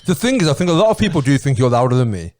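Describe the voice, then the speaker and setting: A young man with a deep, lower-register voice, co-hosting a podcast in casual, explanatory banter. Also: big pitch swings, rapid-fire, darkish tone, a little hiss or hum. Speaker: podcast co-host.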